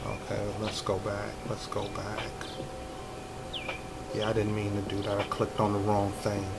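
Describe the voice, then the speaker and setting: A man in his fifties with a soothing voice, vlogging casually from a hotel room, talking slowly with many restarts. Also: on the low side, monotone, creaky, very noisy. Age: 50s. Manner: slow, repetitive, many restarts and fillers. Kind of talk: casual vlog chat. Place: extended stay hotel room.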